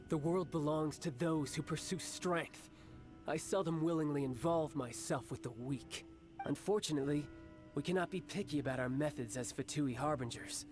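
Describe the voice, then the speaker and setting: A male actor playing a confident, battle-hungry young villain in a video game, with smooth, formal, theatrical delivery. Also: on the high side, darkish tone, natural voice. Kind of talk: scripted video game dialogue. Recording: game audio with music behind. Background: game music.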